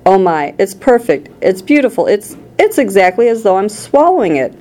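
A woman's voice: mid-range voice, big pitch swings, moderate pace, warm tone, lightly acted. A woman voicing a brash, greedy young girl in a storybook, boastful and exclaiming as she chews. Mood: ecstatic. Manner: boastful, exclaiming, talks while chewing.

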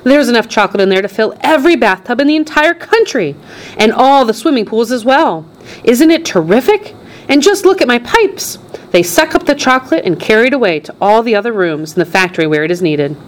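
Lady doing an eccentric chocolate factory boss guy from a storybook, super excitable, proud, voice leaping all over. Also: on the low side, fast talker, hardly any pauses, lightly acted. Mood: excited boasting. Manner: exclamatory, emphatic, gushing.

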